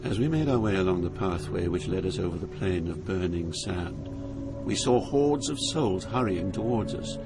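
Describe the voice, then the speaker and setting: Solemn poet recounting the underworld, low, deliberate narration over clear music. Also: male voice, expressive. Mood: grave awe. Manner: grave, deliberate. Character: grave poet recounting his journey through hell. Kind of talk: dramatic scripted narration.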